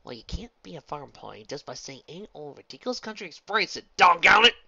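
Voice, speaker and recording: A woman's voice: lowish voice, animated, fast, vocal fry, bright, forceful tone, slightly rough, no echo, lo-fi sound, pitched above the performer's own voice, full character voice. A woman voicing a plain-spoken, stubborn female farm pony with a folksy American country accent and an exasperated manner. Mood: grumbling.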